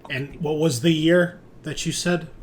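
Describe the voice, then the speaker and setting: A man running a tabletop roleplaying game as game master, improvising his narration and thinking aloud in a halting way. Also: a little high, fairly even intonation. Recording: clear background noise.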